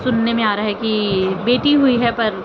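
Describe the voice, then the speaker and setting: A woman's voice: a little high, normal speed. A woman vlogger giving casual commentary on family drama, rambling and repeating phrases for emphasis. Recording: muffled audio with loud outdoor traffic noise.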